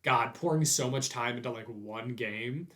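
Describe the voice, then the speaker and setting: A man's voice: mid-range voice, moderate pace, a bit bright. A man hosting a podcast as an informal chat, speaking in a hesitant, self-deprecating, conversational way. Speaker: podcast host. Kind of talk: casual chat.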